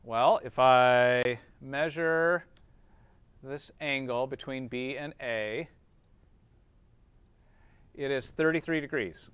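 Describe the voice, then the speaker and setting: A man's voice: mid-range voice, takes it slowly, pauses often, a bit bright, clear, resonant tone, no room sound. A man teaching a class informally, explaining step by step and often checking understanding with short tag questions.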